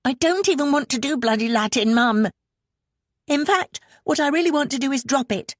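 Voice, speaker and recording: Woman acting a shrill, defiant teenage girl. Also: lively intonation, moderate pace, no echo, studio quality. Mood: defiant, exasperated. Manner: indignant, snapping, whining protest. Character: defiant, snappish teenage daughter, sullen and fed up.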